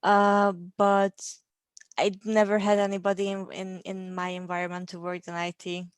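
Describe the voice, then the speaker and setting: A woman's voice: mid-range voice, completely flat delivery, moderate pace, clean tone, no echo. A Hungarian woman in her thirties, a guest in a casual interview, talking in a friendly way with frequent ums and light laughs. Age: 30s.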